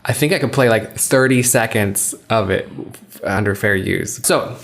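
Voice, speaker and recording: A man's voice: on the low side, normal speed, creaky, fairly bright tone. A man hosting a podcast, chatting casually as he introduces the show. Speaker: podcast host.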